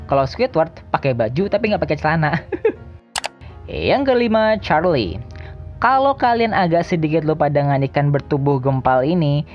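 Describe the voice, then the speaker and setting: Low-voiced woman, casual countdown narrator. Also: highs cut off. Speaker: video host. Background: background music.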